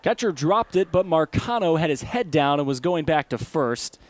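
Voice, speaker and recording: An American man calling baseball play-by-play as a broadcaster, animated and given to shouting on big plays. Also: fairly high pitch, moderate pace. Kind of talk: live sports play-by-play commentary.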